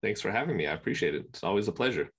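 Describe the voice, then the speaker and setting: Male guest in his forties on a webcam call; very deep voice, plain and to the point. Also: lively intonation, rapid-fire, a bit bright, slightly rough. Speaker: guest, media company founder. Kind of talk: video call interview. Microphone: webcam on video call.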